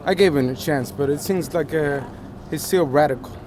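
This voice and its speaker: A man from Rico, answering questions on a city street at night in a casual, halting, plain-spoken way. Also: a little high, a crowd in the background. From Puerto Rico. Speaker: passerby interviewee.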